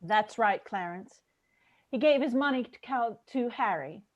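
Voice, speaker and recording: A woman's voice: a little high, lively intonation, unhurried, lo-fi sound. A woman playing a patient heavenly narrator in a 1940s radio play. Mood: patient, explanatory. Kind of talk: scripted radio drama narration.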